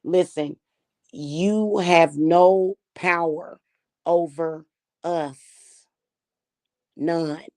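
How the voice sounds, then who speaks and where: A woman talks straight to her viewers in a loose, chatty way. Her voice is slightly hoarse, and her tone stays defiant and unbothered. She calls the audience "y'all" throughout. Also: fairly low pitch, steady tone, extremely slow, pauses often, a little mellow. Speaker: spiritual reader and channel host. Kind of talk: casual talk to viewers.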